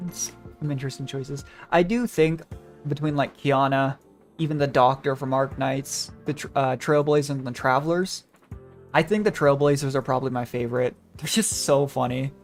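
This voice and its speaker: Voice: mid-range voice, normal speed. A game streamer chatting casually and thinking aloud in a rambling way, with music behind. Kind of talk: casual gaming livestream chat.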